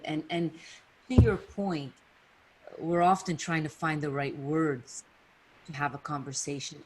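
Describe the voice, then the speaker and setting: Podcast host, woman, deep voice; reflective, restarts often, gently talks things through. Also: a little hiss or hum, rough recording. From Canada or US, Italian family. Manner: reflective, many restarts and fillers. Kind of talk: casual heartfelt chat.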